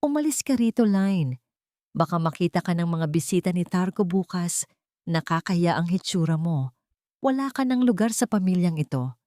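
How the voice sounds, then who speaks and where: Woman performing wealthy old matriarch, haughty, scornful, sharp-tongued, audio drama, dry sound. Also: on the low side, big pitch swings, normal speed, no room sound. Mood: venomous scorn. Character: haughty, venomous wealthy old mother-in-law.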